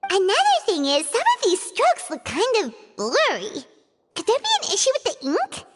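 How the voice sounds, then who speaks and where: Squeaky, excitable little fairy girl. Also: moderate pace, long reverb tail, slight background noise, full character voice. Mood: curious, eager. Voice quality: squeaky, childlike. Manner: exclamatory, eager, speaks of herself by name. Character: small chirpy flying fairy girl companion, excitable and curious. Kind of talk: cartoonish game voice acting.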